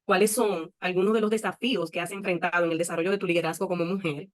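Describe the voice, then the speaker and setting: A woman with a slightly low voice moderates a panel at a quick clip. She hands out questions to the speakers in an orderly, courteous manner. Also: steady tone.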